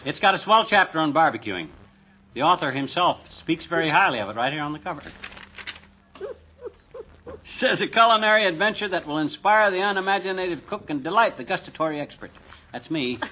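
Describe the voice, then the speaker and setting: A man playing an excitable, boastful husband in old radio comedy, folksy and self-important, with a husky voice. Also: a little high, a little fast, a little hiss or hum, lightly acted. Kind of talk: 1950s radio comedy acting. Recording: dull, lo-fi old radio recording.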